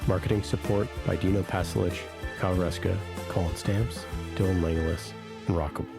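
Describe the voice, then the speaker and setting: A man with a deep voice works as a podcast announcer. He reads scripted sponsor copy and credits. Music is clearly audible behind him. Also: fairly even intonation, brisk.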